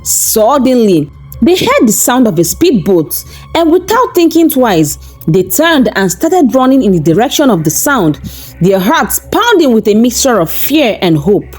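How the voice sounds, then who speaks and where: A woman storyteller with a fairly high voice reads a scripted tale. Her pitch swings in a lively, animated way as she builds suspense. Soft music plays behind her. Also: unhurried, breathy edge. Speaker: storyteller and channel narrator. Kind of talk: dramatic scripted folktale narration.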